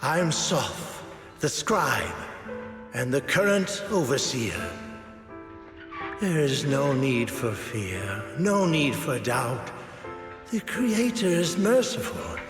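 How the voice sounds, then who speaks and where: An actor playing a serene, unctuous cult scribe in a video game, speaking solemnly and soothingly like a preacher. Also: male voice, mid-range voice, sing-song, slow pace. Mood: serene reverence. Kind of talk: dramatic video game voice acting. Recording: echoey hall reverb with faint music.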